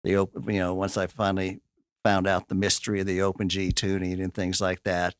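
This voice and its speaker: A man in his sixties with a Midwestern American accent, a guitarist reminiscing in relaxed conversation. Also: low-pitched, brisk, clean tone, no room sound. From Kansas City area. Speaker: band guitarist. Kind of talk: casual chat. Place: radio studio.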